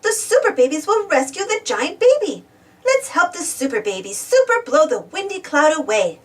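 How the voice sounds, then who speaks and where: A woman's voice: high-pitched, sing-song, moderate pace, recorded from a distance. A woman reading a children's story aloud, exclaiming and putting questions to young listeners. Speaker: storybook reader. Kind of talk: animated children's read-aloud narration.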